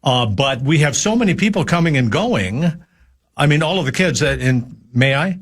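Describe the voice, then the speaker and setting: A man in his seventies from Minnesota, a regular guest chatting on a radio show, telling stories in a halting, folksy way with many pauses. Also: on the high side, lively intonation, husky. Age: 70s. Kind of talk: casual radio chat.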